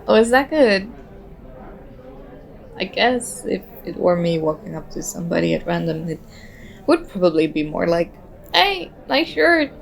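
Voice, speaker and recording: A woman's voice: fairly low pitch, big pitch swings, unhurried, creaky, long pauses, heavily acted, poor audio. A woman playing a very shy, nervous young stranger in an ASMR roleplay, talking hesitantly and self-consciously as she overthinks. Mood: tentative, flattering. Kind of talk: ASMR roleplay, soft conversational acting.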